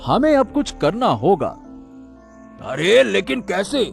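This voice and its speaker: A man's voice: sits high, very animated, takes it slowly, vocal fry, soft background music, exaggerated voice. A man playing an earnest royal subject in a cartoon story, explaining things in a serious, urgent way. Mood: concerned, determined. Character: earnest male subject exposing a conspiracy.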